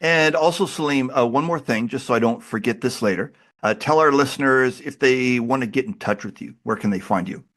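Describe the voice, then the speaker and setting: A man's voice: medium pitch, brisk, few pauses. Chatty male podcast host with a cold. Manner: relaxed, chatty, self-deprecating. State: has a cold. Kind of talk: casual interview chat.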